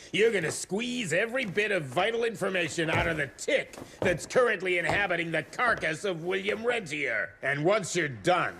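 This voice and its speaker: Gravelly, high-pitched blustering American official. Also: male voice, sharp tone, heavily acted. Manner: sarcastic, swearing, ranting bluster. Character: pompous, foul-mouthed bullying government secretary, lecherous mustached man. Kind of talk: theatrical video game acting.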